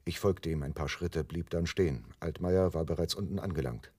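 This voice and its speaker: Deep-voiced uneasy narrator man. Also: moderate pace, warm tone, husky, no room sound. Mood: measured, reflective. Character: anxious, hesitant man narrating his own crime story. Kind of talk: German radio play narration and dialogue.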